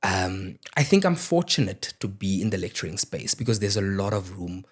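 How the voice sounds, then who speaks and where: A young man from South Africa whose first language is Afrikaans, talking in a measured, reflective and motivational way as a podcast guest. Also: deep voice, breathy edge. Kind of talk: casual interview chat.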